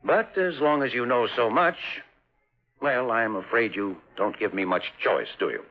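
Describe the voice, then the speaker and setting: An actor playing a cold-blooded, taunting hired killer in a 1950s hardboiled radio drama. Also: male voice, mid-range voice, normal speed, faint music behind, close to an everyday voice. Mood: cold menace. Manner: taunting, threatening. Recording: muffled vintage 1950s radio recording.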